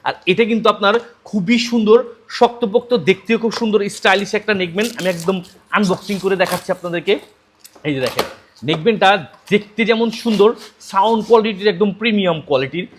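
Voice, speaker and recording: A Bangladeshi man demonstrates a product like a practiced shop salesman. His voice is very high for a man and he talks quickly, piling up emphatic, repeated selling phrases. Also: fairly bright tone. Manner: repetitive, emphatic selling patter.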